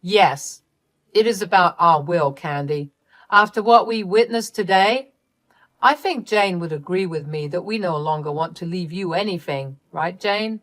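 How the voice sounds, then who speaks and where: A woman's voice: on the low side, lively intonation, a little slow, a bit bright, dry recording. A woman voicing a stern older father who speaks in a cold, firm way.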